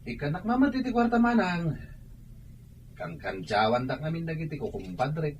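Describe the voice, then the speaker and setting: Swooping, cajoling broke drinker. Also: male voice, on the high side, big pitch swings, normal speed, muffled recording, slight background noise. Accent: Ilocano. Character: scheming, sheepish drinker always short of cash. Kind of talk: Ilocano radio comedy drama acting.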